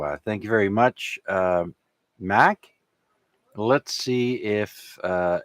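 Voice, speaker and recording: A low-pitched man, native in French, hosts a casual amateur radio net. He rambles along with hesitations, and his voice sits dry and close with hardly any room sound. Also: no room sound.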